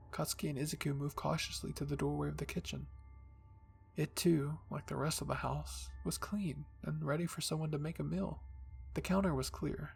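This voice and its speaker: A man reading a spooky fan-fiction story in soft, hushed ASMR narration. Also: on the high side, gravelly, a little hiss or hum. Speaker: storyteller, channel host.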